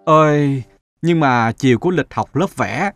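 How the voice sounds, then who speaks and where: A man's voice: a little high, lively intonation, measured pace, no echo, faint music behind. A man voicing an earnest, self-doubting young adult son in a moral-story cartoon. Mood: eager. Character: earnest thirty-year-old son, restless and self-doubting.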